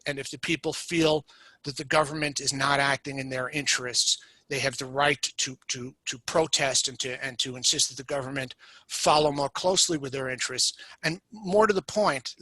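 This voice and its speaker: A man from the United States talks through history as a podcast guest, laying out events in a measured, explanatory way. His voice sits a little high and rings bright and forceful. The sound is close and dry, with almost no room in it. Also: no echo, rough recording. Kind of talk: storytelling history lecture in a podcast chat.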